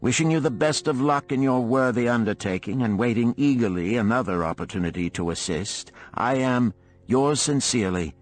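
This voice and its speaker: A man's voice: mid-range voice, normal speed, pitched above the performer's own voice, close to an everyday voice. A man voicing a scholarly British archaeology professor in an audiobook, chatty, mannered and politely old-fashioned. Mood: courteous. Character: genteel, scholarly male British archaeology professor writing a letter. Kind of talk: 1930s letter read aloud in an audiobook.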